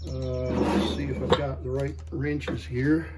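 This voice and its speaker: A man hosting a hands-on repair demonstration in his workshop, talking to himself in an unhurried way as he works. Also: medium pitch, little pitch movement, very noisy. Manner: unhurried, talks to himself while working. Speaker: host. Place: workshop with a lathe.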